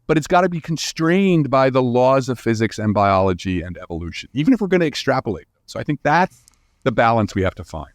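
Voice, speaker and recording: A man's voice: medium pitch, sing-song, quick pace, no room sound, studio quality. A man speaking as a guest scientist in a conversational interview, enthusiastic and emphatic, often tagging his points with "right?".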